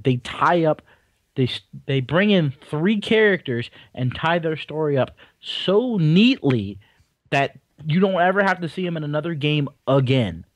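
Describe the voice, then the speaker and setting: Opinionated young man, fairly high voice. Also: no room sound. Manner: blunt and opinionated, a little rambling. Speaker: podcast co-host. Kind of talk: casual chat about video games.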